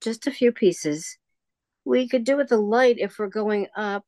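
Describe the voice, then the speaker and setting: A woman's voice: a little high, a little slow, mellow, natural voice. A woman playing a genial, wistful older shopkeeper in an audio drama, chatty and nostalgic.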